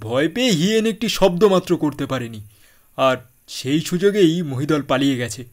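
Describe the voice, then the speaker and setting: A man playing an elderly, courteous and worried Burmese father in a radio drama, speaking in a deliberate theatrical way. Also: on the high side, lively intonation, lightly acted. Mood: grave recounting. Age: older. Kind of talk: Bengali radio drama acting.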